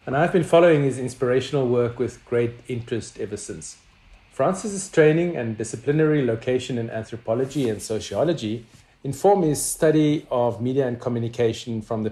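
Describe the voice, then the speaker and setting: A man hosting an interview, reading a prepared introduction and asking questions in a measured way. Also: medium pitch, dark tone. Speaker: interviewer, media professor. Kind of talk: interview host. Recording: echoey room.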